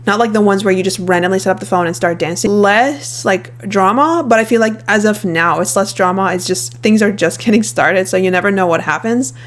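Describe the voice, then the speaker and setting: A woman's voice: mid-range voice, fairly quick, few pauses. Casual vlogger, woman, thirties, Arabic accent, native Arabic speaker, chatty and rambling, mid-range voice. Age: 30s. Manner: chatty, rambling, filler-heavy. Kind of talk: casual vlog chat reacting to clips.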